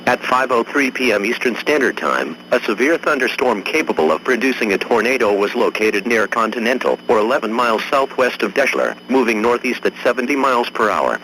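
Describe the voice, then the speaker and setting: A man's voice: mid-range voice, fairly quick, few pauses, pushed and bright, slight background noise, rough recording. A man's voice reading a formal scripted emergency weather warning as an alert announcer.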